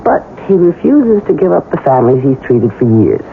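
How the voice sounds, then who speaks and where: Muffled, hissy radio drama recording of a scheming married woman. She is urgent and insistent, with a pitch that swings widely as she presses her case. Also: big pitch swings, a little fast, gravelly. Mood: coaxing. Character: scheming, impatient married woman plotting to kill her husband. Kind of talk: 1980s radio drama acting. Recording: dull, noisy old radio broadcast recording.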